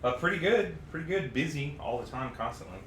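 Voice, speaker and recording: A man's voice: fairly high pitch, animated, fairly quick, a bit bright. A man co-hosting a podcast, chatting casually as the stream gets set up. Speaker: podcast co-host. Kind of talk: casual chat while setting up a stream. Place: echoey room, podcast recording on stream. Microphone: podcast mic on adjustable arm. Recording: roomy, clearly noisy room recording.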